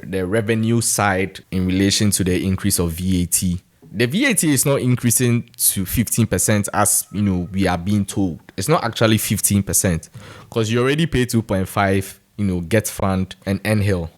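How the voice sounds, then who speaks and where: A man's voice: fairly low pitch, normal speed. A man with a Ghanaian accent giving informal, conversational opinion commentary in a frank, critical way. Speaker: commentator giving his views on national news.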